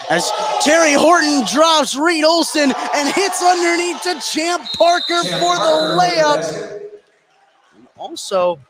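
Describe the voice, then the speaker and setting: An American man does live basketball play-by-play for radio in a fairly high voice. He gets excitable on big plays. The broadcast carries a clearly noisy gym ambience behind him. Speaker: sports radio play-by-play announcer. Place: indoor high school basketball game.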